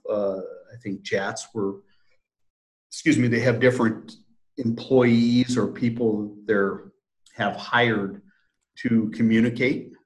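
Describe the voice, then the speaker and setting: A man, a sales director, explaining plans in an interview, hesitant with many fillers. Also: lowish voice. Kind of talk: conversational business interview.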